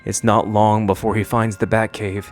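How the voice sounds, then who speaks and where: A man's voice: lowish voice, little pitch movement, moderate pace, faint music behind. A man reading scripted narration for a fan video essay.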